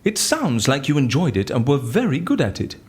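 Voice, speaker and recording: A wry, swooping, quizzical interrogator. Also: male voice, medium pitch, wildly swooping pitch, fairly quick. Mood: sympathetic, probing. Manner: wry, quizzical, folksy exclamations. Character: wry, curious male interrogator, squeamish and incredulous. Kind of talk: audio drama acting.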